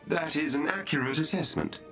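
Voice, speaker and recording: An actor playing a polite, unflappable AI butler in a video game, formal, precise and deferential. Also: male voice, a little high, a little fast, a bit bright, soft background music. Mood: courteous, composed.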